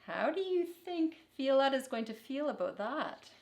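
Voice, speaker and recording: A woman reading a children's picture book aloud in an expressive, deliberate storytelling manner. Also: sits high. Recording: echoey, roomy sound.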